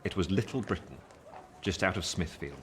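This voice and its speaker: An actor playing a reflective man narrating his own youth in a literary radio play, measured and wistful. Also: deep voice, heavy background noise, close to an everyday voice.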